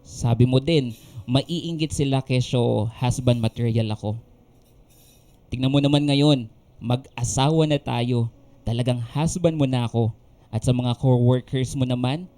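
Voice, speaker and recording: A man playing a tender, gently teasing young husband and father in a radio drama, over music. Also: medium pitch, moderate pace, lightly acted. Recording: music under the voice.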